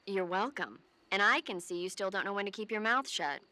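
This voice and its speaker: Game army medic, medium pitch, caring, nags a bit, playful but earnest. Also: female voice, animated, fairly quick. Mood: concerned scolding. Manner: earnest, gently scolding, playful. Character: caring, teasing female military medic on radio support. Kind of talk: video game voice acting.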